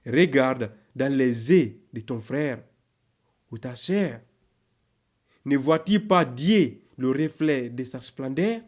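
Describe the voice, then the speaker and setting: Guy reading a spiritual poem really slowly, solemn and declamatory, mid pitch, lots of pauses. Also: lively intonation, a little mellow. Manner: declamatory, measured, with deliberate pauses between phrases. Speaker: poem narrator. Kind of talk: solemn spiritual poetry recitation.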